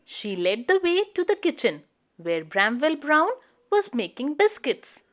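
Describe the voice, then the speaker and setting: Female children's storyteller: high voice, slow deliberate read-aloud, expressive.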